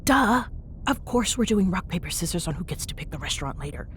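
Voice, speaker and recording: A woman's voice: very animated, a little fast. A woman playing a teasing, bossy but fond young ghost hunter in an intimate ASMR roleplay, bantering sarcastically in a casual, murmuring way. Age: young adult. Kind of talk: ASMR audio roleplay, intimate one-sided chat. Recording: clear background noise with low rumble.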